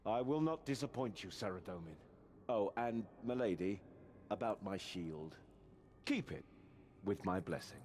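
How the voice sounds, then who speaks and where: Fantasy game knight: lowish voice, honourable, resolute, formal, earnest. Faint background ambience. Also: male voice, expressive, a little slow, a little mellow, slight background noise. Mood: courteous. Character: proud, honourable male knight resisting inner corruption. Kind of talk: scripted fantasy game acting.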